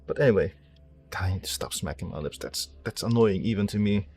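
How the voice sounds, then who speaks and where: Low-voiced man casually commentating his gameplay. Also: a little fast, a little mellow, slight background noise. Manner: rambling, thinking aloud, self-deprecating. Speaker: streamer, game player.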